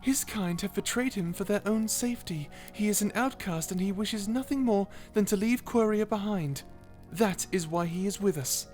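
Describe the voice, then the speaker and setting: A woman voicing a kind, dutiful guardian angel in a character audio roleplay, gentle, formal and measured. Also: medium pitch, faint music behind, lightly acted. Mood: firm, protective.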